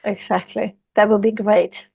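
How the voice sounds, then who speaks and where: A woman's voice: medium pitch, little pitch movement, a little slow, creaky, a little mellow, husky, dry recording, lo-fi sound. A woman from Sweden with a Swedish accent, a yoga teacher talking earnestly as a podcast guest and repeating phrases for emphasis. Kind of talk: podcast interview.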